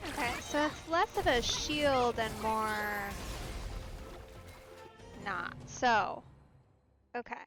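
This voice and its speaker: A woman streaming a video game, casually thinking aloud in a low-key, musing way as she plays. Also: takes it slowly, pauses often. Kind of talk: casual live game commentary. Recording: game music behind the voice. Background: video game music.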